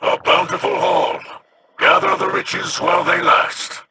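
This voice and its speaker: A man's voice: sits high, level tone, unhurried, pushed and bright, slight background noise, full character voice. An actor playing a solemn, formal male alien leader who gives grave commands.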